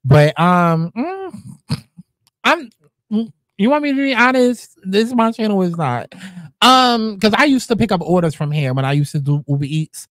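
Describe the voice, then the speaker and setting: A young woman talks, reacting to clips in a brash, joking way and swearing freely. Her pitch leaps around a lot as she talks. The recording is dry and close, with no room sound. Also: big pitch swings, normal speed, no echo. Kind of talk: casual reaction commentary.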